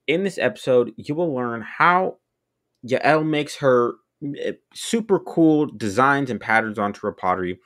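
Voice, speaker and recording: A man's voice: medium pitch, expressive, measured pace, fairly bright tone. A young man hosting a pottery podcast, upbeat and friendly as he opens the show and asks questions. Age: young adult. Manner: upbeat, friendly intro patter. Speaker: podcast host. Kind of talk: casual podcast interview. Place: small indoor room. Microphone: large studio mic on boom arm.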